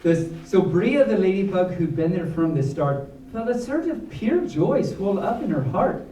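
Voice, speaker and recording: A man's voice: sits high, big pitch swings, normal speed, dark tone. A man reading a storybook aloud to a live audience in a playful, theatrical way, with chatty asides. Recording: roomy, distant mic, faint background noise.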